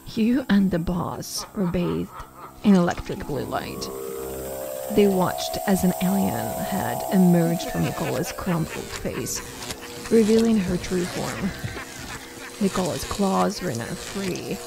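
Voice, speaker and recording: A woman's voice: on the low side, animated, slow pace, vocal fry, music in the background. A woman reading scripted narration for an audio drama.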